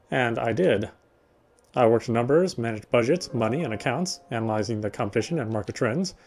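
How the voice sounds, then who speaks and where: A man reads a visual novel script aloud in a mid-pitched voice. He delivers it as story narration, with soft music playing underneath. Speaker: let's player reading a visual novel aloud.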